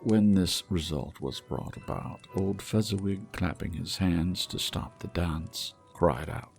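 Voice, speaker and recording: A man narrating a classic storybook in a measured, expressive way. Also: low-pitched, creaky, soft background music. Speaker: audiobook narrator. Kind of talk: storybook reading.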